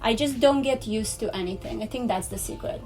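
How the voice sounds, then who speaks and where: A woman's voice: fairly high pitch, a little fast, darkish tone, faint music behind. A woman in her thirties, a guest in a hotel room, telling stories animatedly and acting out her own thoughts. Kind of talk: casual chat.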